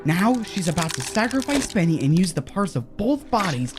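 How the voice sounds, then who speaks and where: A woman's voice: fairly low pitch, big pitch swings, normal speed, music in the background. A woman playing a scheming, upbeat assistant and kids' show host in a horror comedy audio drama. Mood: cheerfully sinister.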